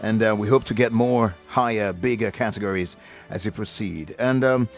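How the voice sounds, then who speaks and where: A man's voice: fairly low pitch, normal speed, soft background music. A male radio co-host talking in an emphatic, heartfelt way, with many fillers. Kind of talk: casual radio talk.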